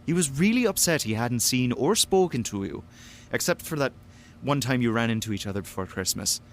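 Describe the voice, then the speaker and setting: A man's voice: mid-range voice, expressive, brisk, a little hiss or hum, lightly acted. A man playing a caring, exasperated friend in an audio drama, earnest and wry as he scolds. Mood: earnest, reproachful. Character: fretful, caring male friend, exasperated yet gentle.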